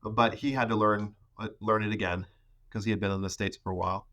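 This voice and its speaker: A man in his thirties, a podcast guest, talking casually about growing up in the rainforest, with many pauses and restarts. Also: lowish voice, steady tone, a bit bright. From Ecuador. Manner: hesitant, many fillers and restarts. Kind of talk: casual conversation.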